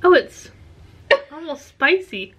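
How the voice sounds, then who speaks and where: A woman's voice: high-pitched, big pitch swings, takes it slowly, a bit bright. A woman with a Southern US accent, chatting to the camera in a dry, teasing way while filming herself in a ship cabin at arm's length. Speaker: travel vlogger. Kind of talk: casual chat to camera. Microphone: phone or camera held at arm's length.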